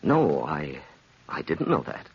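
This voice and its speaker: A man's voice: extremely low pitch, wildly swooping pitch, slow, darkish tone, slightly rough, full character voice. An actor playing a cold, ambitious, calculating man who talks persuasively and theatrically in an old radio drama. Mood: falsely earnest. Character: cold, calculating, smooth-talking ambitious male schemer. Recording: dull, lo-fi old radio recording.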